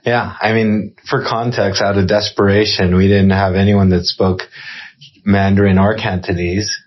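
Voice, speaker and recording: Encouraging male podcast host, low voice. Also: little pitch movement, normal speed, muffled recording. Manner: encouraging, affirming, sums up what he hears. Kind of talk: casual interview chat.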